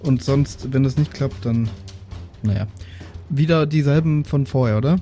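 A man with a dark, relaxed voice of medium pitch plays a tabletop game. He talks casually about his moves. Music runs faintly underneath. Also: moderate pace. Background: background music.

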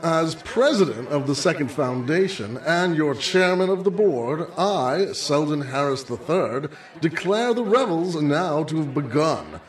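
Man as a slow, pompous gala chairman, echoing. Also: on the high side, animated. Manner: grandiose, ceremonial speechifying. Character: pompous museum board chairman hosting a gala. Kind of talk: old-time radio drama acting. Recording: roomy, echoing, distant from the mic.

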